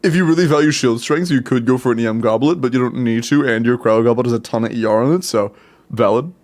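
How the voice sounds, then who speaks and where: A man's voice: medium pitch, quick pace. Stuffy-nosed man streaming game advice. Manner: relaxed, chatty, many fillers and laughs. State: stuffy nose. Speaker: streamer giving account advice. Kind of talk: casual live-stream commentary.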